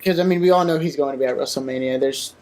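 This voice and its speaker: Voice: mid-range voice, fast, darkish tone, low-quality recording. Co-host in casual panel chat. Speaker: co-host of a wrestling predictions show. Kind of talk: casual panel chat about wrestling predictions.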